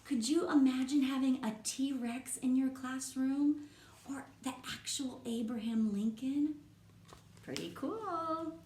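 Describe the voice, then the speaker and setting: A woman teacher reading a picture book aloud to young children, stopping to ask gentle, encouraging questions. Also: high-pitched, takes it slowly, slight background noise. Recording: echoey room.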